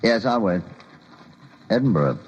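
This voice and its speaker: Radio drama part: police inspector type, lowish, animated delivery, formal, firm, condescending, on a dulled old recording. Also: male voice, a little slow. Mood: businesslike. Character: unflappable, officious British police officer, dryly firm. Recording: muffled, faintly noisy old radio recording.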